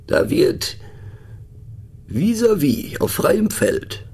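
An actor playing a musing, mocking train passenger in a radio play, talking ponderously with hushed asides and a creaky voice. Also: male voice, high-pitched, big pitch swings, drawn-out words, exaggerated voice. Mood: irritated, mocking.

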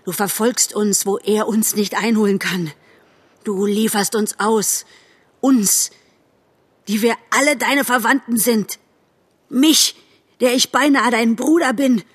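Woman as dying storybook fox, husky, slow, pleading or bitterly scornful, male animal character. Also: on the high side, fairly even intonation, pitched above the performer's own voice. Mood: bitter, accusing. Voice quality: hushed, husky, growling when angered. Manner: weak, slow, imploring or hissing with scorn.

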